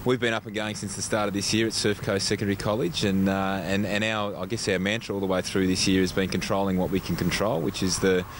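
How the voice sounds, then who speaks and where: A man's voice: sits low, flat delivery, quick pace, few pauses, noisy background. An Australian man giving spontaneous interview answers as a school representative, often repeating the start of a phrase. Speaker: school leader being interviewed.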